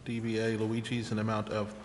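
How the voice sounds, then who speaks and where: A man's voice: on the low side, monotone, fairly quick. A man chairing a public meeting in a formal, procedural way. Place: council chamber. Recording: echoey hall, faint noise.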